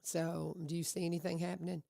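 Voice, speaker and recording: Creaky-voiced woman radio host. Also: on the low side, level tone, brisk, mellow. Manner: encouraging, lots of short affirmations. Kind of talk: casual radio interview chat.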